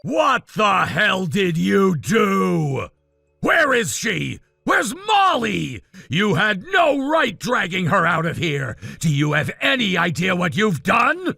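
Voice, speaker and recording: A jilted groom roars and accuses in a gravelly, tense voice, acted out by a woman. He speaks slowly with wide, lurching pitch swings, and his shouting sits close and dry, with faint music underneath. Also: big pitch swings, no room sound, full character voice. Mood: furious. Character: angry male groom, controlling and defensive.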